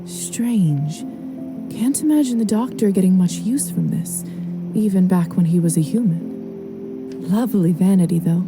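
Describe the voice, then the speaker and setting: A woman's voice: fairly low pitch, moderate pace, over music, close to an everyday voice. An actress playing a refined, wry young woman vampire in a video game, composed and politely teasing. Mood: musing. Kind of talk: scripted video game voice acting.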